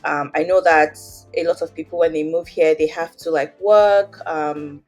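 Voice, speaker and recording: A woman's voice: low voice, fairly even intonation, moderate pace, a little mellow, soft background music. A young woman with a Nigerian accent, telling her story as an interview guest in a chatty way, with many fillers. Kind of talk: casual interview, personal story.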